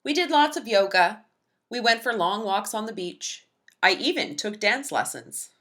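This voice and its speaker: A woman reading a children's picture book aloud in an expressive, emphatic storytelling manner. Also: medium pitch, moderate pace.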